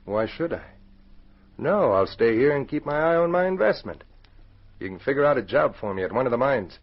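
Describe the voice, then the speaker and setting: A man's voice: medium pitch, big pitch swings, a little fast. A man acting a hard, distrustful ex-convict in a 1940s radio drama, insistent and menacing, on a muffled old recording. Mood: wary, satisfied. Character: hard, distrustful ex-convict pressing a blackmail demand.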